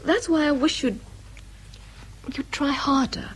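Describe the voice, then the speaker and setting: An actress playing a loving, frank, long-suffering girlfriend in an intimate radio drama, often hushed. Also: high-pitched, takes it slowly, slight background noise. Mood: tender, pleading.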